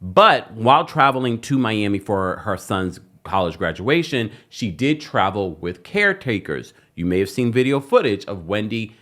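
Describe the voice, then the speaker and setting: A man with an American accent presents pop culture news to viewers. His voice sits on the low side and swings widely in pitch. He phrases things emphatically and deliberately, like a commentator. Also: very animated, a bit bright.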